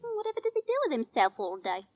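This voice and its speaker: An actress playing a chirpy, naive young working-class daughter, in 1940s radio drama style. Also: female voice, high-pitched, huge pitch swings, fast, a little mellow, full character voice. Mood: chatty, curious. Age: young adult. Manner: bubbly, giggly, naive.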